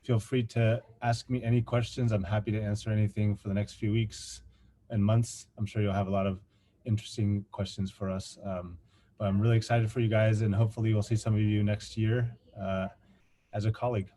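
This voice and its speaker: A resident physician from Chile introducing himself on a phone call, friendly and quick. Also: male voice, fairly low pitch, level tone, mellow, a little hiss or hum.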